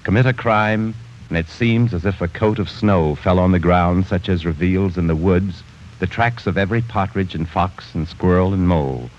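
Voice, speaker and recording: A man's voice: low voice, normal speed, slight background noise, lightly acted. An actor playing an arrogant, bookish man who scorns sentiment, in a 1940s radio drama. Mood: lecturing. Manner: haughty, condescending. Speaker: narrator reading his journal. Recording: muffled 1940s radio broadcast.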